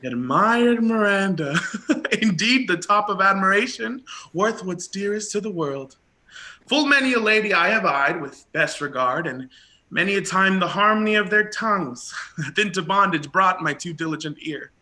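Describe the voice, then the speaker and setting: Man playing lovestruck young prince, very sharp forceful tone, swooping intonation, courtly Shakespearean declamation, effusive. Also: high voice, animated, moderate pace, exaggerated voice. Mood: adoring. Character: lovestruck young prince toiling as log-bearer, ardent, courtly. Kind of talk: Shakespearean stage acting.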